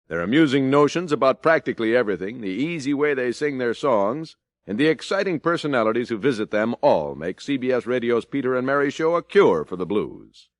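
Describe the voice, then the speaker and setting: A man's voice: mid-range voice, no room sound. Male vintage radio announcer, jaunty promo read, close dry sound. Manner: smooth, jaunty announcer delivery.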